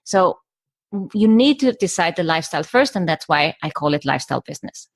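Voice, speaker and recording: A woman's voice: fairly low pitch, animated, a little fast, dry recording. A middle-aged woman from Iceland, a guest on a podcast interview, who talks in short stacked phrases with some fillers and restarts. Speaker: guest, business coach.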